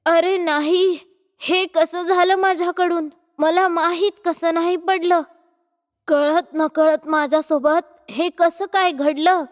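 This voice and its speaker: A woman voicing a bossy, nagging middle-aged mother-in-law in a cartoon story, with a sharp manner. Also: extremely high pitch, big-room sound. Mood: panicked.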